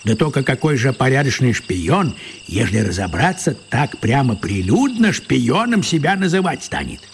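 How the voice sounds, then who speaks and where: An actor playing an eccentric, stubborn wizard in an audio drama, grumbling and arguing in folksy colloquial speech. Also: male voice, medium pitch, sing-song, moderate pace, gravelly, slight background noise. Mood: puzzled musing. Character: elderly eccentric man, a wizard, stubborn and self-justifying. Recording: echoey hall reverb.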